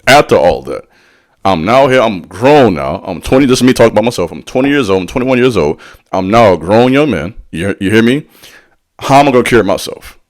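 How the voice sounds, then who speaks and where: A man's voice: on the low side, animated, fairly quick. A man giving a motivational spiritual talk in a preacherly way. He speaks directly to the listener in slang and drops call-and-response asides between points. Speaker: spiritual teacher.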